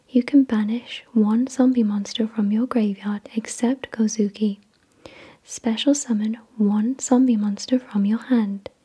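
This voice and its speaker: Breathy whispering woman, slow and soft. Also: a little high. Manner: measured, repetitive, gentle reading. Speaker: ASMR host. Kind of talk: whispered ASMR card unboxing, scripted reading.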